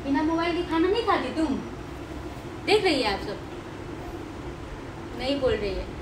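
A woman's voice: sits high, very animated, moderate pace. A young woman with a Bihari Hindi accent chatting to viewers in a home cooking vlog, filmed on a phone held close in her kitchen. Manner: chatty, addresses viewers as friends. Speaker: vlogger. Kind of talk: casual cooking vlog narration. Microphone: phone camera held close. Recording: clear sizzling and pan noise behind. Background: frying and stirring sounds.

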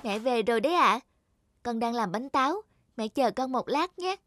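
An actress playing a sweet, naive girl of seventeen in an animated fairy tale, gentle and earnest. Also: a little high, moderate pace, dry recording, clean studio sound. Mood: cheerful. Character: sweet, naive seventeen-year-old golden-haired girl.

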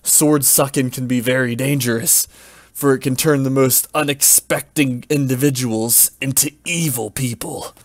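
A man voicing a brash, hot-headed male dark knight who snarls and shouts in slangy outbursts. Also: mid-range voice, fairly even intonation, unhurried, dry recording, lightly acted. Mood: solemn.

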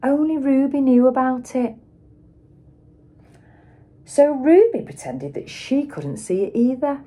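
A middle-aged woman reads a children's picture book aloud like a teacher, with dramatic emphasis and pauses for young listeners. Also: high-pitched, expressive, mellow, breathy edge. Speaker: schoolteacher reading aloud.

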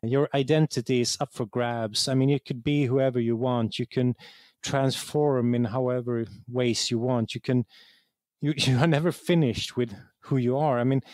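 A man's voice: mid-range voice, a little mellow, no room sound. A man from Sweden with a Swedish accent and Swedish as his first language, reflecting in an interview as a game designer, often repeating words as he searches for the right one.

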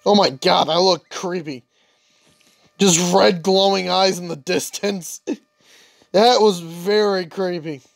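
A man's voice: high voice, fairly even intonation, takes it slowly, vocal fry, no room sound, studio quality. A young man casually commenting on his own gameplay, chatty and grumbling, talking to himself as he plays. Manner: grumbling, chatty, talks to himself while playing. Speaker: gaming channel host. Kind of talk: casual let's-play commentary.

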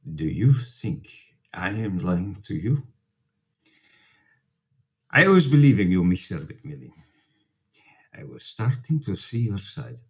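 Excitable priest, swooping intonation. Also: male voice, low voice, slow pace, pauses often, heavily acted. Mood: defensive, indignant. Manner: careful, pleading, formal non-native phrasing. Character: protective, excitable, indignant immigrant priest. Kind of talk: dramatic radio play acting.

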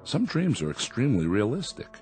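An actor playing a calm psychiatrist who asks measured, probing questions in a radio drama. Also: male voice, medium pitch. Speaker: doctor. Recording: radio play with music.

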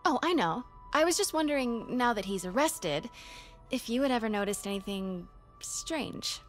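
A woman's voice: fairly high pitch, expressive, normal speed, faint music behind, natural voice. An actress playing a curious young woman reporter in a video game, friendly on the surface but persistently probing. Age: young adult. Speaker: undercover reporter.

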